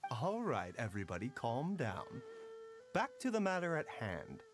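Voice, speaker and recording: A man's voice: mid-range voice, huge pitch swings, faint music behind. A man voicing a courteous, formal pharmacist doctor in a game. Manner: polite, formal, measured. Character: courteous, smooth-spoken male pharmacist doctor.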